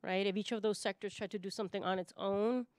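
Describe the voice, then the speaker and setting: A woman's voice: medium pitch, fairly even intonation, quick pace, a little mellow, dry recording. Emphatic woman from Puerto Rico, dry sound. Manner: emphatic, often checks in with "right?". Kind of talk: panel discussion, impassioned unscripted talk.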